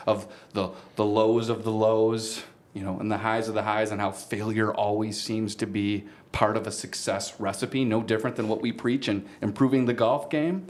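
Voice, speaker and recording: A man co-hosting a podcast in a conversational way, speaking in wordy, measured sentences with deliberate pauses. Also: fairly low pitch. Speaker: podcast co-host and golf business co-founder.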